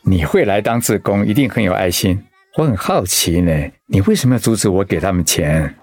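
An actor with a Taiwanese accent playing a gentle, ailing pastor and husband in a radio drama, earnest and reflective. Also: male voice, fairly low pitch, big pitch swings, a little fast, dry recording. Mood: earnest, youthful. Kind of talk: Mandarin radio drama acting.

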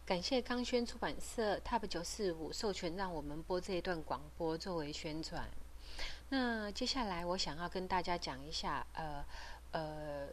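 A woman's voice: fairly low pitch, moderate pace, a little hiss or hum. A woman with a Taiwanese Mandarin accent hosting a podcast, explaining things informally. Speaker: podcast host.

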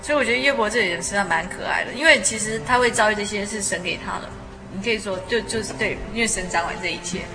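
A woman's voice: mid-range voice, fast talker, sharp tone. A woman hosting a casual radio conversation, chatting and putting questions to her guest. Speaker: radio talk host. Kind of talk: casual interviewing chat. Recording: reverberant sound with background music. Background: music.

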